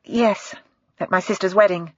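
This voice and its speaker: A woman's voice: mid-range voice, steady tone, moderate pace, no echo, close to an everyday voice. A woman voicing a young policewoman who answers briefly and politely, in a slightly dull, dry recording. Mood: reserved.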